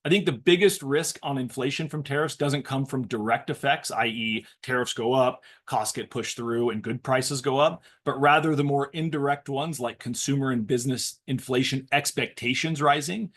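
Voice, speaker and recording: A man speaking as a financial panelist, giving measured, analytical commentary on economics in structured explanations. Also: mid-range voice, steady tone, fairly bright tone.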